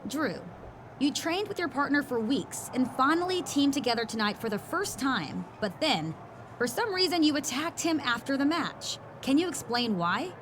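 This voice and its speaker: Earnest backstage wrestling reporter for a game, high animated voice, noisy behind. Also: female voice, normal speed. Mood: questioning. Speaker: interviewer. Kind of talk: scripted backstage sports interview. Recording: clear ambient background noise.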